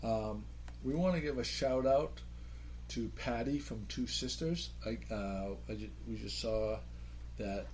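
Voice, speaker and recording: A man's voice: medium pitch, measured pace, darkish tone, slight background noise. Easygoing man hosting a chat. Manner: easygoing, joking, reads announcements aloud. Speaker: podcast host. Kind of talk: casual chat.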